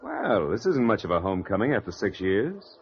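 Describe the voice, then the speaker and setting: An actor playing a breezy, self-assured man with an amused, smooth-talking manner in 1940s radio drama. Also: fairly low pitch, very animated, a little fast, a little hiss or hum. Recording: dull vintage radio recording.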